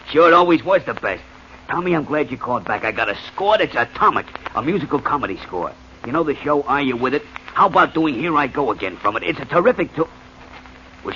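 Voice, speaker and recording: A man's voice: mid-range voice, pushed and bright. A man playing a brash, joking music publisher in 1940s radio acting. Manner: brash, fast-joking, gruff. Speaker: supporting actor. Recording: dull, slightly hissy old radio broadcast.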